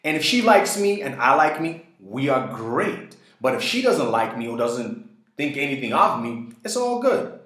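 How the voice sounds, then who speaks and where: A man's voice: mid-range voice, very animated, normal speed, fairly bright tone. Emphatic man in a roomy echo. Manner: emphatic, preachy, repeats phrases. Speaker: self-improvement vlogger. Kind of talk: motivational monologue.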